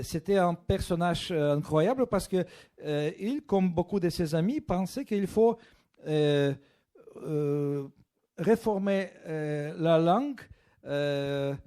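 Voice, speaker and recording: A man with a Russian accent, a guest author in an interview, speaking slowly and often stopping to search for French words. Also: fairly high pitch, animated, dark tone. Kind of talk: bookshop interview.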